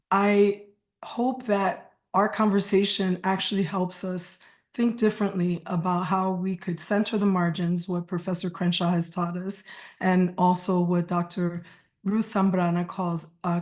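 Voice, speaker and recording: A woman moderating an online panel webinar, speaking in a heartfelt way and slipping in Spanish greetings. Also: fairly low pitch. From United States. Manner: warm, heartfelt, mixes in Spanish phrases. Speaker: moderator and sociology professor.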